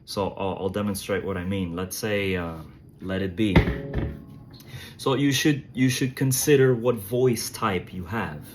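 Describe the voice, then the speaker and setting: A man with a low voice giving a casual, thoughtful demonstration on a phone vlog, talking slowly with soft pauses. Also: noisy background. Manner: slow, thoughtful, reflective talk with soft fillers. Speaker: musician reviewing handpan drums. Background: tonal drum playing.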